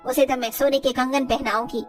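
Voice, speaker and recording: A woman's voice: high voice, fairly even intonation, brisk, far from the mic, soft background music, lightly acted. A woman voicing a haughty, boastful rich mother-in-law in a moral story, with a condescending manner. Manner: condescending, gossipy.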